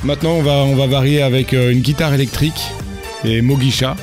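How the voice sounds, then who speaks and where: A man's voice: medium pitch, steady tone, brisk. Male guest, casual and rambling, music bed under voice. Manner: rambling, laughing, many restarts. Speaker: festival organizer guest. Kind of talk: casual radio chat. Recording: radio studio with music underneath.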